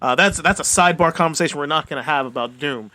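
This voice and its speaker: A man's voice: fairly high pitch, fast, bright, forceful tone. A man co-hosting a podcast with casual, joking, profane banter. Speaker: podcast co-host.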